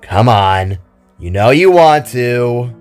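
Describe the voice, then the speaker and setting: A man's voice: medium pitch, animated, takes it slowly, a little mellow, clean tone, soft background music. Man voicing a friendly brotherly alien hero, easily rattled. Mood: teasing, warm. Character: kind, playful brotherly male alien reflection, easily rattled.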